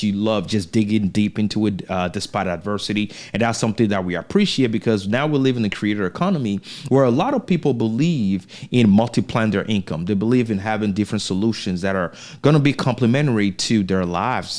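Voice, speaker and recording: A man's voice: on the low side, a little mellow. Enthusiastic man hosting an interview. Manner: enthusiastic, frequent tag questions. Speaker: podcast host.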